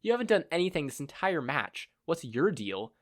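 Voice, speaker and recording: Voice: mid-range voice, big pitch swings, moderate pace, voice pushed up. A performer voicing a confident, wisecracking woman superhero with casual, taunting quips in an audio story. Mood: impatient.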